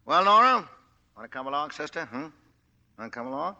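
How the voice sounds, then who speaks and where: Sharp, swaggering gangster boss. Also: male voice, on the high side, sing-song, a little fast, full character voice. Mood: smug. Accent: American. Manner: domineering, barking. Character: swaggering, impatient middle-aged male gangster boss. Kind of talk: 1940s radio drama acting. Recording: dull, hissy 1940s radio recording.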